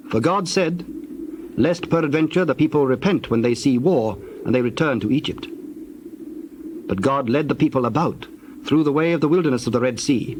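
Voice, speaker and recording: Man narrating bible audio drama, measured storyteller, normal pitch, faint background noise. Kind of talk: scripted dramatized scripture narration.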